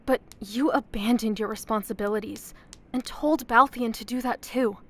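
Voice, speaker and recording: A higher-voiced, curious young listener. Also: female voice, fairly even intonation, moderate pace, a bit bright. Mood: questioning. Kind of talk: audio drama acting.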